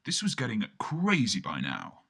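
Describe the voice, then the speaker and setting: Male storyteller, mid-pitched and sharp-toned, delivering a scripted comic first-person tale with acted-out dialogue. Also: huge pitch swings, unhurried, husky. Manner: performs the dialogue of the characters within the telling. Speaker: storyteller and narrator.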